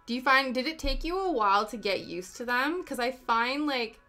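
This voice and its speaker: A woman's voice: high voice, animated, moderate pace, sharp tone. Chatty young Canadian woman streamer. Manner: chatty, reading and answering chat, giggly. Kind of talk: casual chat. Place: home, living in the UK. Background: faint background music.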